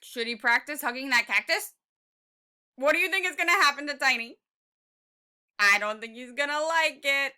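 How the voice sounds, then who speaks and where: A woman reading a story aloud as a teacher, expressive and encouraging, pausing to put questions to the children. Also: high voice. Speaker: second grade teacher.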